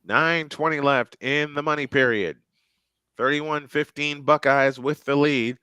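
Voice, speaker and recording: A man's voice: a little high, steady tone, a little slow, sharp tone, smooth, no echo. A man calling a football game play by play on a fan livestream, chatty and folksy. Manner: folksy, chatty storyteller between plays. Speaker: play-by-play announcer.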